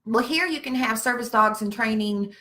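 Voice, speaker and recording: Southern US woman, blunt folksy storyteller, bright forward voice, slightly high, casual live chat. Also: normal speed. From Virginia, United States.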